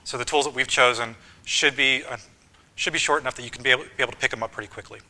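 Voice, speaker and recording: A man teaching a university course, talking casually with frequent ums and quick lists. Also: mid-range voice, steady tone, bright, forceful tone. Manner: casual, list-making, filler ums. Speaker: course instructor. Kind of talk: university lecture.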